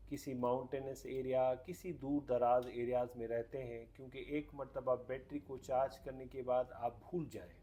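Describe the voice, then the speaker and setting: A man's voice: mid-range voice, a little mellow. A man giving a casual hands-on product demonstration, explaining step by step and mixing in English technical terms. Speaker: radio reviewer.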